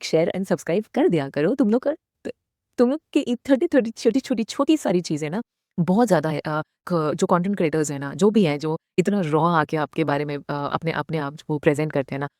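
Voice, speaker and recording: A woman's voice: low-pitched, warm tone, dry recording, clean studio sound. A woman in her thirties giving a heartfelt motivational talk to her viewers. She speaks earnestly, mixing Hindi with English phrases. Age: 30s. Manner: speaks directly to viewers, asks them questions. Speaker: vlogger.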